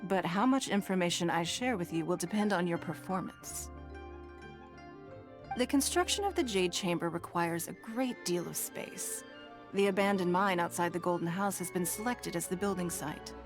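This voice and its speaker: An actress playing a composed, powerful businesswoman who speaks in measured, formal, authoritative phrases. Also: on the low side, natural voice. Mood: cool, inviting. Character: poised, powerful businesswoman leader, exacting and composed. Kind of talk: video game voice acting. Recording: background music under dialogue.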